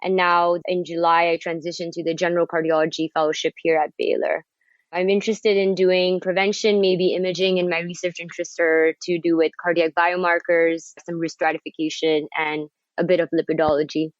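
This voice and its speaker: A woman in her thirties from Pakistan, a cardiology fellow, explaining a medical condition in a measured and informative way. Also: lowish voice, little pitch movement, no echo, muffled recording. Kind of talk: podcast conversation, explaining a condition.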